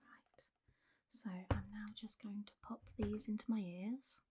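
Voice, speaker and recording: Soft, breathy woman in medical ASMR roleplay. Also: mid-range voice, fairly even intonation. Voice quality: soft, breathy, often whispered. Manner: gentle, reassuring, unhurried bedside manner. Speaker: ASMR performer role-playing a clinic doctor.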